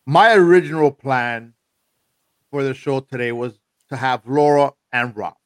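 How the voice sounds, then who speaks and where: A man's voice: mid-range voice, measured pace, no echo. A man hosting a casual talk show, stressing points and checking in with "okay?". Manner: emphatic, repeats "okay". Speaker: show host.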